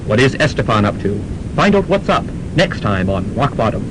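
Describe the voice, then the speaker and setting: A man's voice: big pitch swings, moderate pace, close to an everyday voice. A man playing the mock-dramatic narrator of a student radio serial. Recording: noisy, rumbling amateur radio recording.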